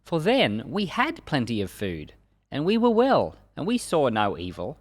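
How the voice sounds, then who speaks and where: Male scripture reader. Solemn and emphatic, widely varied pitch, very dry close sound. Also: huge pitch swings, a little slow, no echo. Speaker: Bible reader and teacher.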